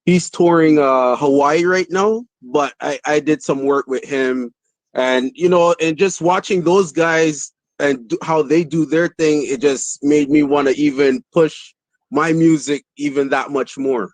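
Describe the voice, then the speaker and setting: A man from Barbados with a Barbadian accent, talking about his music as a guest on a podcast in a relaxed, rambling way. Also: on the high side, a little slow, dry recording. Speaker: dancehall artist guest. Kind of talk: casual interview.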